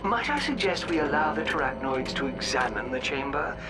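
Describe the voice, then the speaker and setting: A dry, servile robot butler from a video game, played by a man. He sounds formal and deadpan in a slightly high voice with some lilt, over loud music. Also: brisk, natural voice. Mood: cautious, formal.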